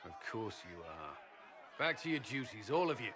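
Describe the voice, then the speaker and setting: An actor playing a stern, weary military commander in a video game, with a commanding, sardonic, clipped way of talking. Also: male voice, on the low side, big pitch swings, normal speed, crowd noise, background noise. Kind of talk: dramatic video game dialogue.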